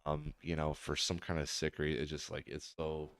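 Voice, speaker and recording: Man, very deep voice, calm measured podcast talk, dry mic. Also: gravelly, clear, resonant tone, dry recording. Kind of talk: casual podcast chat.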